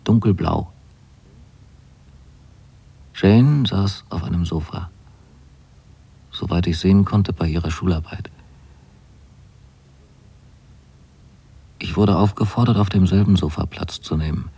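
An actor playing a naive, wryly fastidious son in a radio drama, telling of his new life in measured, precise, deliberate phrases. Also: deep voice, creaky, warm tone, husky, exaggerated voice. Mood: intimate, reflective. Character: young man writing letters to his mother, wryly fastidious and naive. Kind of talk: literary radio drama monologue.

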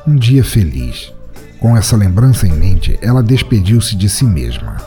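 Deep dark-voiced male narrator. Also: very animated, a little slow, soft background music. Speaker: podcast host and narrator. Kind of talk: scripted story narration.

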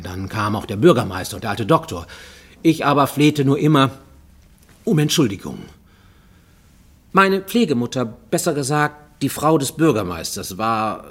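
An actor playing a brooding, lonely man confessing his troubled youth in a radio play, halting, intense and confiding, often close to whispering. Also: fairly low pitch, breathy edge. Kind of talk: dramatic first-person monologue in a radio play. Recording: studio radio play with music underneath.

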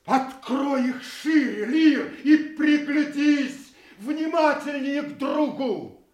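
A man's voice: extremely high pitch, slow, darkish tone. An actor playing a blunt, loyal and fearless nobleman in a theatrical audio drama, solemn, fervent and defiant. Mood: defiant, urgent. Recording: roomy, echoing sound.

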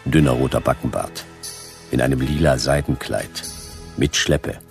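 Noir private eye, radio play: rock-bottom pitch, creaky, terse, deadpan. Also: male voice, normal speed, soft background music. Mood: wry. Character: laconic, hard-boiled male private detective. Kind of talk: noir radio drama narration and dialogue.

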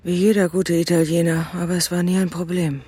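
An actress playing a troubled widowed restaurant owner who confides in her cousin in a radio drama, subdued and hesitant, with a husky low voice. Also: flat delivery, brisk, warm tone. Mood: troubled, pensive. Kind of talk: naturalistic radio drama dialogue.

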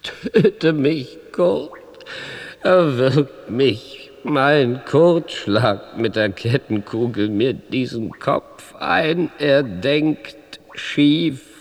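An actor playing a proud captive medieval knight in a theatrical radio play, declaiming with aggrieved drama. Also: male voice, medium pitch, animated, extremely slow, gravelly, no echo, exaggerated voice. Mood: anguished, desperate.

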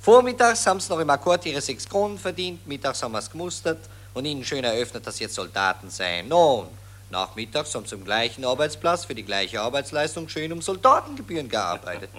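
An actor playing a callous, boastful Austrian army officer with a colloquial Viennese accent in a 1940s radio play. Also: male voice, mid-range voice, very animated, fairly quick, a bit bright. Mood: smug. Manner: smug, colloquial, anecdotal. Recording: vintage 1940s radio recording.

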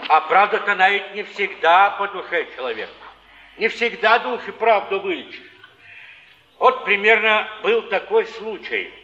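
A kindly, sly old wanderer in a stage drama, his voice high and swooping. He soothes and coaxes with folksy repeated phrases, like a born storyteller. The sound is distant, in a big reverberant hall. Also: expressive, normal speed, pushed and bright. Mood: earnest storytelling. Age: older. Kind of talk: mid-century Russian stage acting. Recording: echoey theatre stage, distant, dull archive sound.

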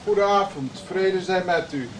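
An actor playing a reserved travelling friar, polite and guarded, in a radio play. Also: male voice, high-pitched, moderate pace, a little hiss or hum, lightly acted, poor audio. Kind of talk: radio drama acting.

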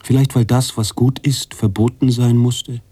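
A man playing a brooding, contemplative narrator-author in a literary radio drama, speaking deliberately with pauses between phrases. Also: mid-range voice, fairly even intonation, warm tone, breathy edge, dry recording, natural voice. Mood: somber, reflective. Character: brooding male narrator-author, contemplative, troubled by his heroine.

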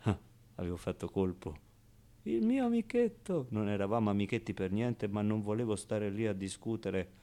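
A man's voice: lowish voice, lively intonation, normal speed, dark tone. Man playing a streetwise young orphan boy, radio theatre. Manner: colloquial, hesitant storytelling with repeated words. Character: streetwise, wounded ten-year-old orphan boy telling his story. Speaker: actor-narrator. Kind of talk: dramatic radio theatre monologue in a child character's voice.

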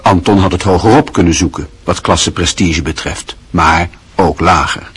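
A man narrating a literary radio drama in a measured, even storytelling manner. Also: sits low, husky, faint music behind.

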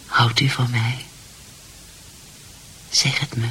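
A woman's voice: rumbling low, little pitch movement, takes it slowly, bright, forceful tone, slightly rough, heavily acted, rough recording. An actress in a radio drama playing a distraught, lovesick married mother, pleading and often near whispering.

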